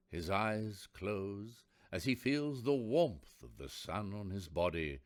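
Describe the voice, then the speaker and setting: A man playing the narrator of a radio play, telling the story in scripted, descriptive prose. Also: mid-range voice.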